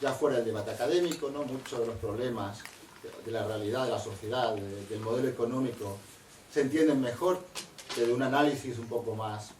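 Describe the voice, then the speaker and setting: A middle-aged man, a historian moderating and introducing a guest speaker in a measured, discursive way, often adding tag questions. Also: mid-range voice, darkish tone. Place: university library lecture room. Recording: echoey, distant room recording with faint noise.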